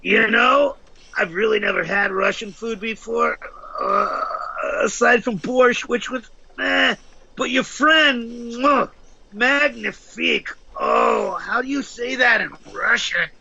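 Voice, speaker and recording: High, gravelly werewolf. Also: male voice, slow pace, pushed and bright, no echo, exaggerated voice. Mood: smug. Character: wisecracking male werewolf, greedy eater, nervy. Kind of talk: comedic audio drama acting. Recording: dull lo-fi, faint noise.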